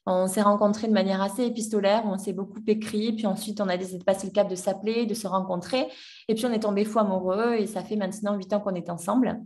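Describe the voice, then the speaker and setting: A young woman in her twenties tells her story as a podcast guest, pausing often and restarting her sentences. Her voice sits at a middle pitch. She sounds a little away from the microphone. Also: fairly even intonation, a little mellow. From France. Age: 20s. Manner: hesitant, many fillers and restarts. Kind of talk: personal story in a podcast interview. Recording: voice a bit distant from the mic.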